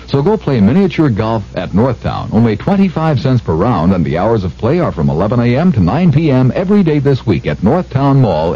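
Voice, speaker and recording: Male local-radio pitchman: upbeat, animated, husky, dull old broadcast sound. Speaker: commercial announcer. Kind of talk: vintage local radio advertisement. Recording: muffled vintage radio broadcast.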